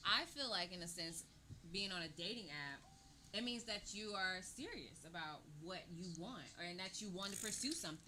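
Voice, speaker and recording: A woman's voice: fairly low pitch, animated, moderate pace, sharp tone, a little hiss or hum. A woman co-host giving her opinion directly in a casual podcast discussion. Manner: direct, reasoning through her point.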